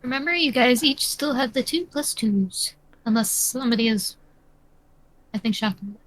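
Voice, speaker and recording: A woman's voice: on the high side, normal speed, a bit bright, poor audio. A woman running a tabletop role-playing game as game master, explaining rules and describing scenes in a matter-of-fact way.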